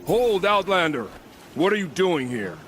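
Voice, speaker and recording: An actor playing a gruff, sly, menacing bandit guard in a video game. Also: male voice, high voice, expressive, measured pace, a bit bright, a little hiss or hum. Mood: barking orders.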